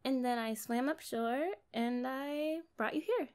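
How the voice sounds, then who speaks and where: High-pitched, bubbly young mermaid played by a woman. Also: smooth. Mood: chatty, reassuring. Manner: playful, chatty, expressive, drawn-out phrasing. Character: kind, bubbly, naive young female mermaid. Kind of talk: ASMR roleplay addressed to the listener.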